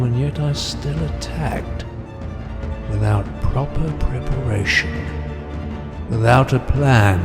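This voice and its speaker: A man playing a brooding, calculating supervillain in an audio drama, speaking deliberately in dramatic monologue. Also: mid-range voice. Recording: loud music bed under voice. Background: music.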